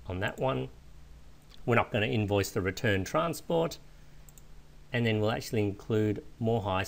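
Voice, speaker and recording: A man with a fairly low voice narrates a software tutorial. He explains each step informally, in the manner of an instructor walking a learner through a screencast. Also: vocal fry.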